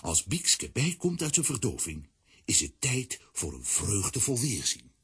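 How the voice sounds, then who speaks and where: A man's voice: on the low side, huge pitch swings, a little slow, a bit bright, slightly rough, heavily acted. A man narrating a scripted children's story as the narrator of a radio play.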